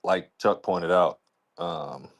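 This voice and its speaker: A man's voice: deep voice, little pitch movement, takes it slowly. A man talking casually as a league commissioner on a podcast, in a colloquial, rambling way peppered with "you know".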